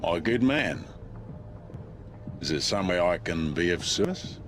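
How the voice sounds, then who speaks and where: An actor playing a sly underworld informant in a spy game, unctuous and teasing with mock courtesy. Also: male voice, deep voice, lively intonation, measured pace, music in the background. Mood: smooth, courteous. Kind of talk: cinematic spy game acting.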